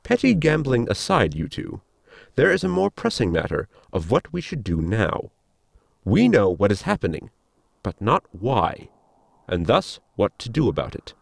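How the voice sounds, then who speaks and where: A polite, scientifically minded crewman from a sci-fi comedy audio drama, played by a man. His formal, explanatory delivery swoops widely in pitch, with a creaky edge, in a dry close recording. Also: wildly swooping pitch, unhurried, dry recording, heavily acted. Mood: earnest, explanatory.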